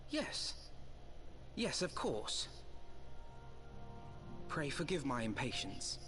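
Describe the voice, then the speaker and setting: A man playing a dignified, earnest young idealist in a game cutscene, speaking in formal, measured, eloquent phrases. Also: mid-range voice, sing-song, fairly bright tone, faint music behind, heavily acted. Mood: humbled, grateful. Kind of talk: video game cutscene acting.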